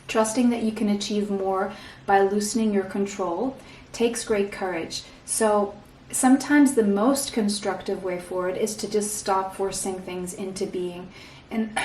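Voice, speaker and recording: A woman from Portugal giving a pick-a-card tarot reading in a soft, reassuring way, often checking in with "okay?" and clearing an irritated throat. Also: medium pitch, echoey room, distant mic, a little hiss or hum, poor audio. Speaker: tarot reader.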